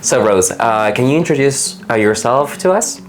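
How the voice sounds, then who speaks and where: A woman's voice: very deep voice, expressive, normal speed, crowd noise. A woman interviewing casually, echoing answers in short reactions and asking follow-up questions.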